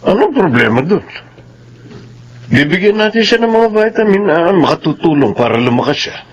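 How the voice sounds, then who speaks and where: A man's voice: high voice, sing-song, moderate pace, breathy edge, dull audio, exaggerated voice. A man playing a stern, authoritative middle-aged father in a Filipino radio drama, with a Filipino accent. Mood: firm. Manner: authoritative, firm. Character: stern, domineering middle-aged father, hospital-owning doctor.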